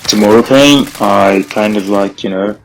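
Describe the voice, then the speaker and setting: A man's voice: lowish voice, unhurried, a little hiss or hum, low-quality recording. A young man casually telling a story, rambling and filling it with "bro" and "like". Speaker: gaming vlogger.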